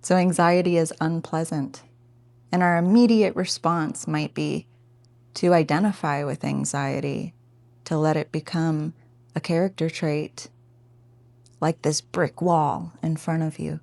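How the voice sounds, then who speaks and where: Low-pitched, slow-paced woman guiding meditation. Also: fairly even intonation, vocal fry, darkish tone, dry recording. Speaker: meditation teacher. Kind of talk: guided meditation instruction.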